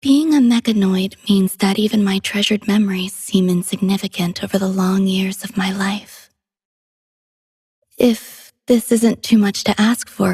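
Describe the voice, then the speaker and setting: A woman playing a gentle, poetic female android companion in a game, soft-spoken and wistful with a breathy voice. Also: medium pitch, no room sound, clean studio sound. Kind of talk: scripted game character voice lines.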